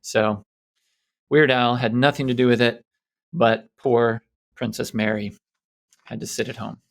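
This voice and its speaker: A man's voice: medium pitch, flat delivery, measured pace, vocal fry. A man co-hosting a podcast, casually retelling news stories in a bantering talk style. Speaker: co-host presenting a news quiz segment.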